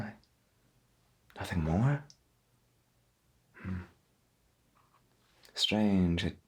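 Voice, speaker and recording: A man playing a veteran late-night paranormal radio host in a soft-spoken 1990s AM radio roleplay, with a breathy, gravelly voice and a calm, musing, florid way of talking full of pauses. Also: sits low, full character voice. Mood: hushed, intrigued.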